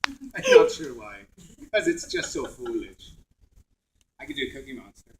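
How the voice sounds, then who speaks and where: A man's voice: medium pitch, wildly swooping pitch, measured pace, gravelly, heavy background noise. A middle-aged man, a voice actor guest, chatting at a convention panel in a joking, self-mocking way and laughing often.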